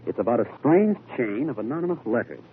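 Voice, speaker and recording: An actor playing a keen, self-assured amateur detective, quizzical in manner, in an old-time radio drama. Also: male voice, medium pitch, very animated, fast, natural voice. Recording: tinny, noisy old radio broadcast.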